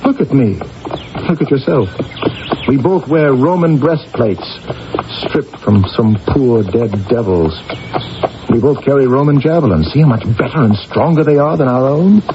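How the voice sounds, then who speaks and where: An actor playing a shrewd, solemn ancient British warrior in a radio drama, measured and emphatic in delivery. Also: male voice, mid-range voice, expressive, background noise, natural voice. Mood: urgent, persuasive. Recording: muffled vintage radio recording, music behind.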